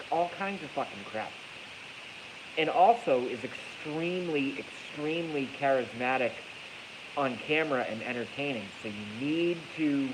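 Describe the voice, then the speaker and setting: Male streamer, mid-pitched and congested, blunt off-the-cuff monologue with profanity and repetition, hissy phone sound. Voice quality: congested, stuffy nose. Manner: blunt, profane, repeats phrases for emphasis. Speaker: livestream host. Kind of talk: casual rambling livestream monologue. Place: echoey garage at night. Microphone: iPhone, moved closer during the stream. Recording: hissy noisy iPhone recording.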